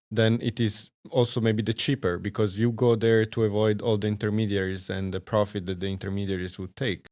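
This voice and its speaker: A man hosting a podcast, asking long questions in measured, formal phrasing. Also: lowish voice, a little mellow. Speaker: podcast host. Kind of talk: interview questions.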